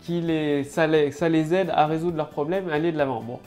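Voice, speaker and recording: A woman's voice: deep voice, steady tone, brisk, warm tone, faint music behind. A woman with a head cold giving a casual marketing tutorial to camera, loose and full of fillers and restarts. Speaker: online marketing coach.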